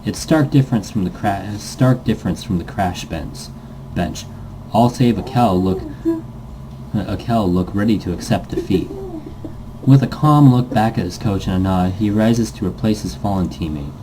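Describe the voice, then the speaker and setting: A man's voice: on the low side, normal speed, mellow, a little hiss or hum, poor audio. A man reading visual novel narration aloud dramatically, stumbling now and then and rereading phrases. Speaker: reader, host of a let's read series.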